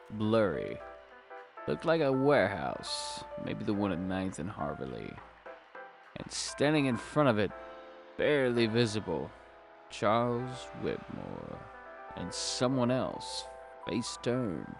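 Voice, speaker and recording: An actor playing a world-weary, hard-boiled private detective, narrating a noir audio drama in a wry, brooding, gravelly voice. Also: male voice, fairly low pitch, wildly swooping pitch, slow pace, heavily acted. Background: music.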